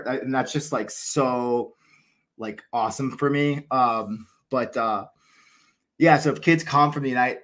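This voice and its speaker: A man's voice: mid-range voice, a bit bright. An American man in his thirties hosting a casual livestream from a small room at home, rambling enthusiastically with lots of fillers and restarts. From United States. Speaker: cricket channel host.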